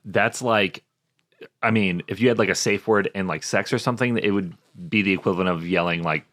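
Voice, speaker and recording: Man, low-leaning pitch, podcast co-host, casual, joking, conversational. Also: fairly quick, fairly bright tone, no echo. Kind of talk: casual joking chat with some scripted reading.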